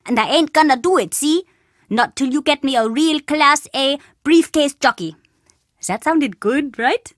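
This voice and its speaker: Mischievous little boy for a video game: chatty, cheeky, animated delivery, dry close sound. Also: high-pitched, measured pace, no echo. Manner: cheeky, dramatic, childlike bluster. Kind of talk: video game character dialogue.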